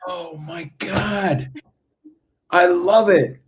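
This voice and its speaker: A man chatting casually as a playwright on a video call, enthusiastic and somewhat halting in his phrasing. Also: high-pitched, sing-song, vocal fry, slightly rough. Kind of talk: casual chat.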